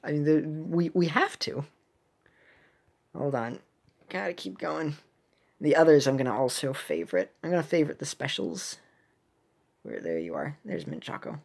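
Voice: mid-range voice, animated, normal speed, vocal fry, pauses often, a little mellow, slightly rough. A streamer with a mid-range voice of unclear gender gives casual commentary on a game. The talk rambles and halts, often as if thinking aloud to themselves.

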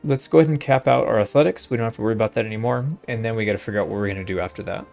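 A man's voice: fairly low pitch, rapid-fire, creaky, darkish tone, faint music behind. A man giving casual gameplay commentary, thinking aloud in a laid-back way as he hosts a playthrough. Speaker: host of a gaming playthrough.

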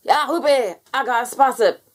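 A woman voicing an excitable, indignant little alien monster from a storybook, exclaiming and quick to quarrel. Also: fairly high pitch, pitched above the performer's own voice, natural voice. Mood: excited. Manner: exclaiming, squabbling.

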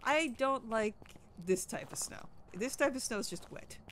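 A woman's voice: on the high side, animated, moderate pace, a bit bright, a little hiss or hum. Stuffy young woman, playful gamer. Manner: playful, joking. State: stuffy, slightly sick. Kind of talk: casual co-op gaming chat.

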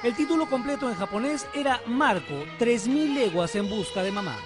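A man's voice: very high voice, lively intonation, normal speed, over music. A man narrating a scripted television feature.

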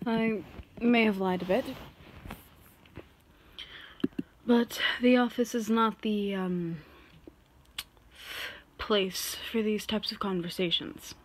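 A woman playing a reserved office boss who is guarded but softening, speaking haltingly in an intimate ASMR roleplay. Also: medium pitch, fairly even intonation, a little hiss or hum, close to an everyday voice. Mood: hesitant, softening. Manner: halting, measured delivery with sighs.

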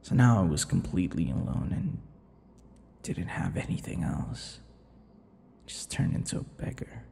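A man playing a brooding, unhinged male vampire in an intimate ASMR roleplay, breathy and halting, often dropping to a whisper. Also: low voice, expressive, a little hiss or hum, exaggerated voice. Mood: bitter, brooding. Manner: slow, halting, dramatic pauses and dark chuckles. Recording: long reverberant echo.

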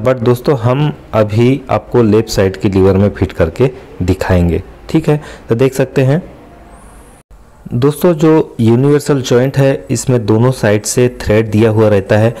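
A man's voice: medium pitch, mellow. A man hosting a step-by-step machine repair tutorial from an excavator cab, talking the viewer through each step in a friendly instructional way. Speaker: mechanic and channel host. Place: excavator cab, outdoors work site.

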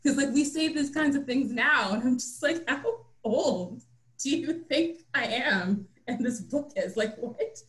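A woman's voice: high voice, lively intonation, a little slow, a bit bright. A young adult woman, an author chatting casually as a guest on an online book talk. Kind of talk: casual chat. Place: indoor room, on a video call. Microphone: computer mic on a video call. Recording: roomy, echoing video call.

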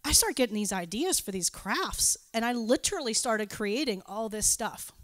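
A woman's voice: on the high side, animated, moderate pace, fairly bright tone, dry recording. A woman giving an informal sermon with personal anecdotes, talking in a conversational, self-deprecating and giggly way. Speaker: preacher speaking at a church gathering.

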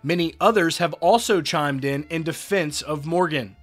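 A man's voice: on the high side, normal speed, faint music behind. A man hosting an entertainment news show, reading a scripted report in an upbeat way and quoting fans' posts. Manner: upbeat, reads quotes aloud. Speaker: host.

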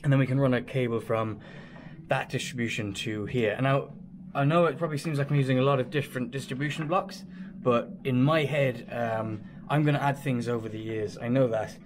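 A man's voice: mid-range voice, fairly even intonation, fairly quick, a little hiss or hum. A man presenting a casual hands-on vlog in a small boat cabin, explaining his work and thinking aloud hesitantly. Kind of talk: casual explanatory vlog talk while working.

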